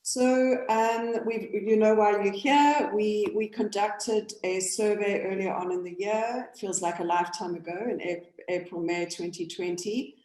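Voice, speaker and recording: A woman's voice: mid-range voice, steady tone, a little slow, few pauses, darkish tone, echoey room, rough recording. A woman co-hosting a business webinar, speaking casually and hesitantly with frequent fillers. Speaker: research company founder and co-host.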